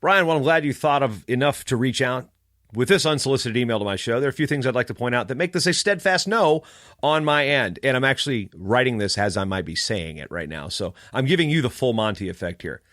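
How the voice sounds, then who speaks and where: A man's voice: mid-range voice, lively intonation, a little fast, fairly bright tone, dry recording, clean studio sound. A man podcaster telling a story in a casual, snarky, emphatic rant. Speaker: wrestling podcaster.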